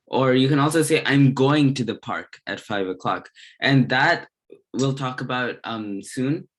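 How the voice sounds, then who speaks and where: Casting: male tutor with a medium-pitched voice, explaining a lesson step by step in halting, broken-up phrases. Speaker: Spanish grammar tutor. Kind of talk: slide-based language lesson, explanatory.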